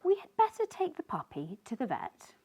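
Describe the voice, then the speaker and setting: A woman reading a storybook as a sensible mother who explains things in a measured, gently firm way. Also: a little high, huge pitch swings, breathy edge, voice pushed up, full character voice.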